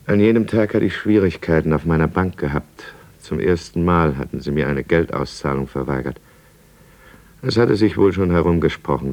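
Downcast middle-aged merchant and drinker, bass register, brooding confessional monologue, 1950s radio drama. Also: male voice, a little mellow.

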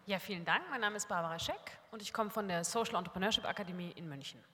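A woman on a workshop panel explains her organisation step by step, often pausing on fillers. Her voice is mid-pitched. It rings out in a large, reverberant hall. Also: sharp tone, slight background noise. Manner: structured explanation with frequent fillers. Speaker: panelist, academy representative. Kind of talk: presenting at a workshop. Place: conference hall.

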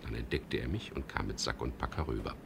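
An actor playing a wry, world-weary middle-aged English skipper who narrates a radio crime drama in a gravelly, laconic voice. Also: male voice, a bass voice, fairly quick, noisy background. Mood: dry, reflective. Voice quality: gravelly, husky. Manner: laconic, dry, ironic.

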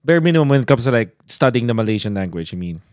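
A man's voice: medium pitch, very animated, racing, darkish tone, smooth, no room sound, studio quality. A man hosting a casual podcast interview, reacting often and feeling out his questions in informal, hesitant phrasing. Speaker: podcast host.

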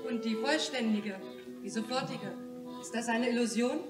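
A woman acting an insistent Parisian woman in a radio drama, questioning in a fairly high voice. She sounds a little away from the microphone in a roomy space, with loud music. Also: moderate pace, natural voice. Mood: challenging. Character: questioning, impatient Parisian woman debating freedom and love. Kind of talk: German radio play acting. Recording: distant, echoing, loud music behind.

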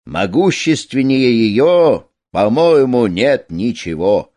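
A man's voice: mid-range voice, big pitch swings, slow, mellow, dry recording. A man voicing the wind in a storybook tale, humble and exclaiming, emphatic and rhetorical. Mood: exclaiming, admiring.

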